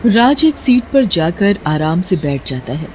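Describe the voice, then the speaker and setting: A storyteller narrating a horror tale from a script. The voice sits at a medium pitch and delivers descriptive third-person narration. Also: sing-song, unhurried, a little mellow, slight background noise.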